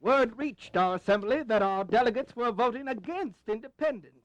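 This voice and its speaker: An actor playing a polite, eager colonial doctor and delegate in a historical audio drama, speaking formally. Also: male voice, very high voice, normal speed, lo-fi sound. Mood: eager, courteous. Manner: courteous, formal.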